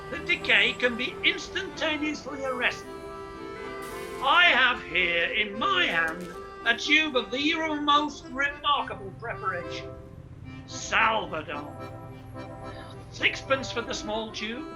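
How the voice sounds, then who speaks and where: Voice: medium pitch, low-quality recording. An actor playing a brash, slick street hawker, delivering grandiloquent sales patter in a theatrical radio play style. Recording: streamed radio play with music underneath.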